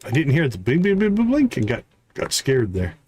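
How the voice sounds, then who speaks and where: A man's voice: medium pitch, wildly swooping pitch, a little fast, a little mellow, soft background music. A man giving casual live gameplay commentary, thinking aloud as he counts off items and talks through his tasks. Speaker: live streamer, gaming host.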